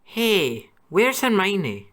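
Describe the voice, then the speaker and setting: A woman's voice: mid-range voice, big pitch swings, very slow, fairly bright tone, heavily acted. A woman playing a grumbling, squeamish schoolboy wizard in an audio drama. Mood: bewildered.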